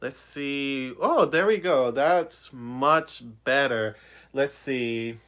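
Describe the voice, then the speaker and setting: A man's voice: mid-range voice, very slow, fairly bright tone. A young man from Puerto Rico, a puppeteer, chatting casually on a phone livestream with many fillers. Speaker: puppeteer vlogger. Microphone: phone on a ring light.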